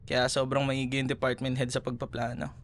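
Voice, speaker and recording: A man's voice: mid-range voice, monotone, brisk, natural voice. A Filipino man playing a chatty, teasing café worker in a casual, naturalistic boyfriend roleplay audio. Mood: apologetic, chatty. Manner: chatty, playful, casual conversational.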